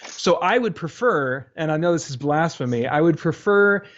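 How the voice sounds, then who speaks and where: A man's voice: fairly high pitch, animated, normal speed. A man talking as a podcast guest in an easygoing, informal, self-deprecating way. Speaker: podcast guest, audio drama creator. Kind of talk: casual interview chat. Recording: dull, lo-fi podcast audio.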